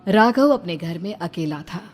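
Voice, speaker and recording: A woman's voice: fairly low pitch, lively intonation, moderate pace, faint music behind. A woman storyteller reading a scripted narration for a story.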